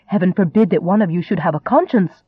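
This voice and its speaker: A man voicing a proud, sharp-tongued young Englishwoman in an audiobook, indignant and biting. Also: sits high, normal speed, no echo, narrow, tinny audio. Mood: bitter sarcasm.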